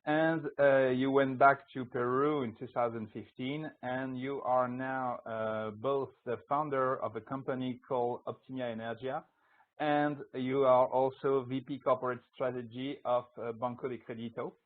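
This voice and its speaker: A man's voice: medium pitch, fairly even intonation, normal speed. Hesitant male host over a tinny line. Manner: hesitant, many fillers and restarts. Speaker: radio show host. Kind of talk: casual interview introduction. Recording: tinny phone-line audio.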